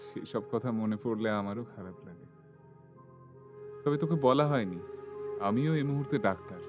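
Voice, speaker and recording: An actor playing a mild, polite young city doctor in an audio story, speaking in a gentle, conversational way. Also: male voice, on the low side, animated, moderate pace, music in the background, close to an everyday voice. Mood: concerned, earnest.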